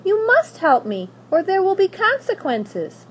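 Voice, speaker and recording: High, petulant young princess. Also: female voice, wildly swooping pitch, unhurried, muffled recording, a little hiss or hum, full character voice. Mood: haughty. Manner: proud, insistent. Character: haughty, spoiled young princess, petulant and demanding.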